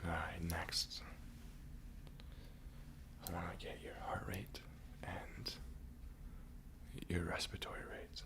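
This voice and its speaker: A man whispering softly and breathily in a gentle, reassuring, unhurried way, as an ASMR host doing a calm doctor roleplay. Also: low-pitched. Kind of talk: soft-spoken ASMR medical roleplay.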